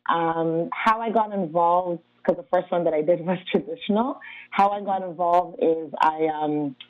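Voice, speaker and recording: A woman's voice: on the low side, moderate pace, dry recording. A woman from Ghana, a dance artist and educator, answering interview questions in an easygoing way with frequent laughs and ums. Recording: tinny phone-like line, dry.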